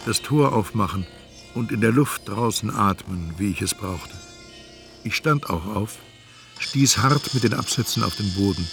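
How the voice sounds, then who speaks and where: Introspective male narrator, lowish pitch, measured, precise, first-person literary radio drama narration. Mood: detailed, absorbed. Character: introspective male narrator recounting an intimate encounter.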